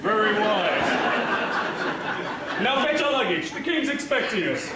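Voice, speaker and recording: A man's voice: sits high, sing-song, measured pace, crowd noise. A man playing a scheming, pompous pantomime baron who gloats theatrically on stage. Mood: smug, commanding. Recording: distant, echoey, noisy stage recording.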